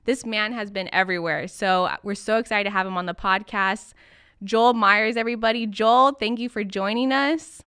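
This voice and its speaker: A woman's voice: mid-range voice, fairly even intonation, normal speed, pushed and bright, smooth, no echo, studio quality. A young woman hosting a podcast interview in an upbeat, enthusiastic manner. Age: young adult. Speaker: podcast host.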